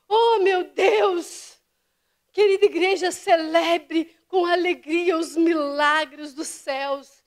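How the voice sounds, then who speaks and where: High-voiced woman preaching slowly. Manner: fervent preaching, swinging from hushed whispers to impassioned cries. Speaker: pastor. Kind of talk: sermon. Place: church service.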